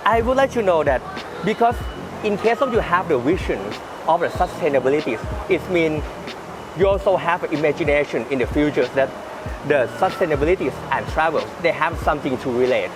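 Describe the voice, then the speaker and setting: A man with a Thai accent hosting an interview, phrasing his English questions in a halting, roundabout second-language way. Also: on the high side, animated, noisy background. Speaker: host interviewing a guest. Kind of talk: conversational interview. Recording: music under the voice. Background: background music.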